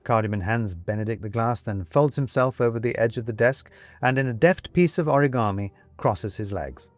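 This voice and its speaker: A man's voice: mid-range voice, moderate pace, faint music behind. A man reading scripted audiobook narration expressively, as a storyteller. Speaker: story reader.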